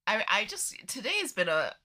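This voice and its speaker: A woman's voice: medium pitch, very animated, fairly quick, pushed and bright, slight background noise. A woman podcast co-host chatting casually, congested and nasal from allergies, laughing often. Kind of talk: casual chat.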